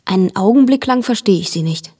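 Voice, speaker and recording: A woman's voice: medium pitch, expressive, a little fast, mellow, slightly rough. A woman playing a watchful, sceptical young narrator in a radio drama, observant and restrained in her telling.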